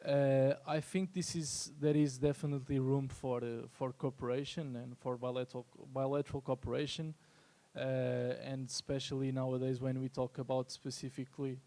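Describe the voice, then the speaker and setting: A man speaks as a panelist, formal and courteous. His voice is flat in pitch, dark and relaxed, with gravelly fry. He feels his way haltingly through his phrases. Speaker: trade agency representative, panelist. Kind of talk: panel discussion answer. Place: live panel at a symposium.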